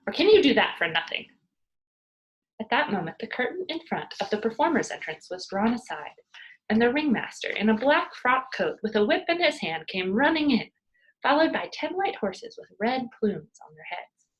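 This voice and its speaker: A woman with a fairly high voice reads a children's story aloud. Her delivery is very animated and dramatic, as a teacher would read to a young class. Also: normal speed, muffled recording.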